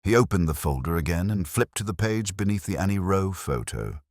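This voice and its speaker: A man narrating a scripted detective mystery in a grave, deliberate, measured literary style that builds suspense. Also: deep voice, animated, a little mellow, no echo, clean studio sound.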